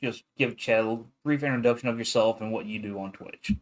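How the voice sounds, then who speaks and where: A man hosting a livestreamed podcast in casual chat, relaxed and stumbling over his first words. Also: fairly low pitch, a little fast. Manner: relaxed, repeats words when starting sentences. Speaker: podcast host.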